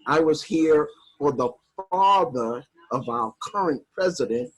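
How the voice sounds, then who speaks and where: A woman in her eighties from Harlem, New York, reflecting slowly on her life during a video call workshop, with soft laughs. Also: deep voice, animated, breathy edge, poor audio. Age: 80s. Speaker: workshop participant.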